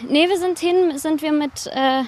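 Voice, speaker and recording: A woman's voice: high voice, unhurried, darkish tone, slight background noise. A woman from Baden-Württemberg telling anecdotes as a guest in a casual, colloquial, self-deprecating way while walking along. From Baden-Württemberg, Germany. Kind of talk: casual chat, anecdotal storytelling.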